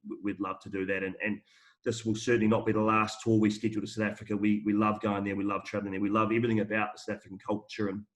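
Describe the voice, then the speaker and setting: A man's voice: fairly low pitch, level tone, very fast. A man on a video call thanking his hosts, speaking in a friendly way and doubling up words as he goes. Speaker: school rugby tour representative.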